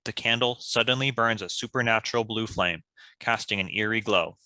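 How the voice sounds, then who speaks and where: Casting: male scripted narrator, crisp bright tone, slightly low, dry recording. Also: moderate pace, dry recording. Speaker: stage-direction narrator.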